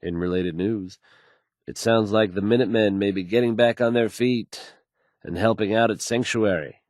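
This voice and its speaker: A man's voice: lowish voice, normal speed, darkish tone, no room sound, studio quality, close to an everyday voice. A man playing an assured, folksy radio DJ in a video game, delivering news bulletins to listeners with fluent, polished ease. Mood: upbeat, confident.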